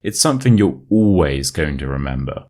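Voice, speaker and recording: A man with a modern RP British accent reading a short story as an English teacher, in a measured, clearly enunciated way. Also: a bass voice, big pitch swings, a little mellow. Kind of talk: scripted story narration for listening practice.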